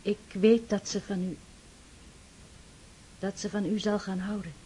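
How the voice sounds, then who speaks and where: An actress playing a gentle, wise young noblewoman in a radio play, speaking in a calm, measured way. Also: mid-range voice, breathy edge, rough recording. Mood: reassuring.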